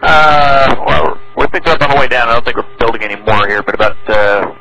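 A man's voice: mid-range voice, little pitch movement, a little fast, vocal fry. A man flying as an airline pilot, giving terse, matter-of-fact readbacks over a noisy radio transmission.

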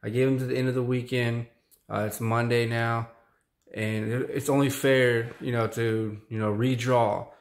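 A man's voice: mid-range voice, level tone, normal speed. A young man casually talking to the camera in a small room, drawing giveaway winners. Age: young adult. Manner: casual, rambling, thankful. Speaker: fishing channel host. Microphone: camera close up at desk.

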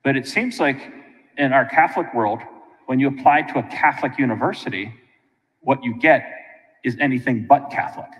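American priest preaching in a reverberant church. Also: male voice, medium pitch, normal speed. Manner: colloquial and animated, with frequent asides to listeners. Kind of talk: informal conversational sermon. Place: large church sanctuary, indoors.

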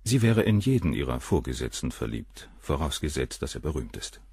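An actor playing a cool, arrogant, middle-aged surgeon who talks down about the women around him, in a radio play. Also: male voice, extremely low pitch, very animated, brisk, a little mellow, husky, no echo, exaggerated voice. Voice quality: cool, cynical, dismissive.

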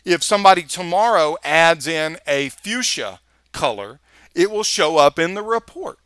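Texan male instructor, screencast voice-over, bright and dry, casual and chatty while clicking around. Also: a little high, lively intonation, normal speed, dry recording. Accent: Texas. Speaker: software instructor. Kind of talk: screencast tutorial walkthrough.

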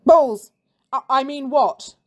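A woman doing a ball-crazed shouting male teacher. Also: high voice, slow, pitched above the performer's own voice. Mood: frantic. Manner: frantic bellowing, obsessive chanting.

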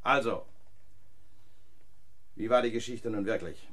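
An actor playing a brusque, sceptical police inspector in a German radio thriller, commanding and faintly sardonic. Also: male voice, on the low side, measured pace, a bit bright. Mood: condescending. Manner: probing, condescending, abruptly barking orders.

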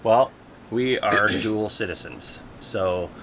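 A man's voice: on the low side, slow pace, a bit bright, slight background noise, low-quality recording. A man from Canada with a Canadian accent, co-hosting a vlog in casual chat. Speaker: co-host of a sailing vlog. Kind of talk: casual chat, answering viewer questions.

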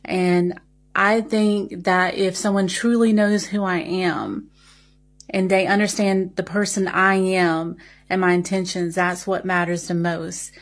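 A woman with a Southern American accent and a slight speech impediment, talking earnestly and carefully in a reflective personal way. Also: mid-range voice. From Southern United States. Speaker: social media video responder. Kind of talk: reflective personal talk to camera.

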